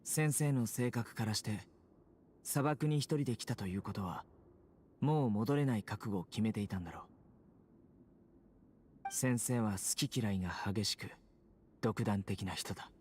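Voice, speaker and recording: A man's voice: on the low side, a little mellow, slight background noise, natural voice. Grave, composed young man, voiced by an actor. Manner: measured, grave, matter-of-fact. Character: stern, composed young man, resolute desert guardian. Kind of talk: Japanese anime game voice acting.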